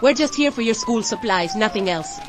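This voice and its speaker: A woman playing a gentle, reassuring, protective young mother in an audio horror drama. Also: on the high side, expressive, normal speed, background noise, lightly acted. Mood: tense, protective. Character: tender, protective young mother, anxious but composed.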